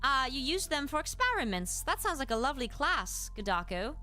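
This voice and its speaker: Woman, British accent, bright crisp-edged tone, animated intonation, gracious explanatory streamer teaching, faint background music. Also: sits high, a little fast. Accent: British, put on. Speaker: live streamer and host giving a lesson. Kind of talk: livestreamed educational lesson with chat replies.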